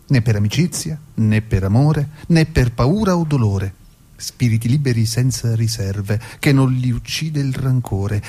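A man's voice: medium pitch, mellow. A man reading his own poem as a guest, earnest and incantatory in delivery. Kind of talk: poetry recitation.

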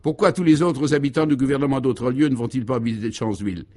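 A man's voice: mid-range voice, fairly even intonation, fast, a little mellow, close to an everyday voice. Man playing an incredulous, protesting male traveller. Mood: objecting. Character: sceptical, exasperated male traveller objecting to nonsense. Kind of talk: comic radio serial dialogue.

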